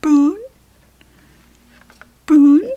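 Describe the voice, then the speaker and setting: Storybook character: a woman as a tiny baby girl hippo, playful, high and squeaky, a little breathy. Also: very slow, warm tone, highs cut off. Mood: excited.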